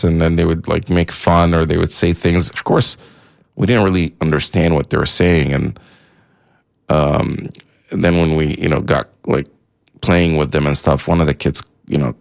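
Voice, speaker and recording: A man's voice: a bass voice, vocal fry. A man from Egypt whose first language is Arabic, hosting a call-in radio show and talking in a rambling way full of fillers and restarts.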